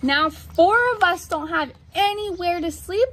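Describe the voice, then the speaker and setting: A woman's voice: high-pitched, animated, measured pace, fairly bright tone, breathy edge, full character voice. A woman voicing a helpful but grumbling squirrel from a children's picture book.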